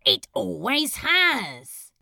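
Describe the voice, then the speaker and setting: A woman's voice: high voice, very animated, drawn-out words, vocal fry, bright, forceful tone, no room sound, studio quality, exaggerated voice. A woman playing a brooding, sardonic male demon in a fan audio drama, taunting and wounded. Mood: wounded, defiant. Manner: sardonic, challenging.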